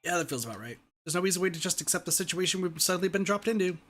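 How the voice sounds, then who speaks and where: A man's voice: high voice, animated, racing, a bit bright, faint music behind. A man reading visual novel narration aloud as an ordinary, earnest teenage schoolboy thinking to himself. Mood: weary, resigned.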